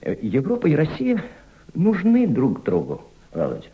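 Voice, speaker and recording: An actor playing an impassioned senior Soviet diplomat in a radio drama, mentoring a young subordinate with emphatic, didactic rhetoric and deliberate repetition. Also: male voice, fairly high pitch, huge pitch swings, phone-quality sound. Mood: grave, persuasive. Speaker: actor in an audio play.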